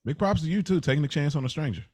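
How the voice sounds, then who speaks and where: A man's voice: on the high side, racing, a little mellow, dry recording. A man co-hosting and producing a podcast, chatting informally in slang and thinking aloud as he goes. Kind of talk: casual conversational podcast talk.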